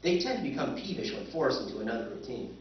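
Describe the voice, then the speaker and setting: A man's voice: medium pitch, very animated, fast, very noisy, natural voice. A man narrating and playing a wry male surveyor, telling the story with dry humor in a live radio drama recreation. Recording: echoey hall, far mic, muffled, music.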